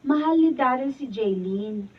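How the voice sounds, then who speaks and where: Loyal young filipina friend in a radio drama, really high voice, muffled. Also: female voice, animated, unhurried, husky, heavily acted. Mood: firm, supportive. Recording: muffled, distant mic.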